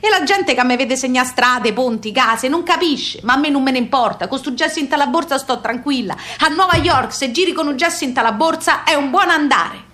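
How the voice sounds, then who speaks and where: A woman's voice: high-pitched, fairly quick, hardly any pauses, sharp tone. A woman playing a plain-spoken, nostalgic emigrant mother in a radio drama, with a Neapolitan-tinged Southern accent and colloquial, repetitive phrasing. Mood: earnest, affectionate. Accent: Neapolitan-influenced Southern Italian. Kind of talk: theatrical radio monologue, intimate storytelling.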